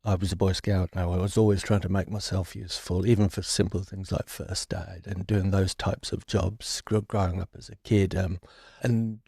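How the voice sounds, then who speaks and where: Gravelly, low-voiced man, reflective. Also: little pitch movement, warm tone, no room sound, clean studio sound. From born in Ireland, raised in London. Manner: reflective, halting, with restarts. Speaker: guest. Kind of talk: candid recovery interview.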